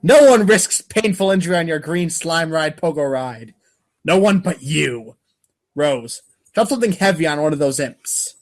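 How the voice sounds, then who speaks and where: Male comic narrator, slightly high register, theatrical and mock-grave, reading script commands. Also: lively intonation, moderate pace. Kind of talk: comedic readers' theater narration.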